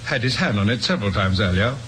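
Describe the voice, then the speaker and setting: Evasive, courteous suspect, faint radio hiss. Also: male voice, lowish voice, expressive, fast talker, a bit bright. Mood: nervously explaining. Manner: hesitant, over-explaining, courteous. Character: evasive, polite man in his thirties, smooth suspect. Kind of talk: old-time radio drama acting. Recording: faintly noisy old radio recording.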